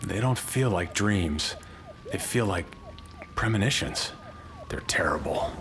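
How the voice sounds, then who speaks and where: A man's voice: sits low, animated, slow, heavily acted. A man playing an anguished, protective husband in an audio drama, embittered and agitated. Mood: haunted. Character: anguished, bitter, protective husband haunted by bad dreams.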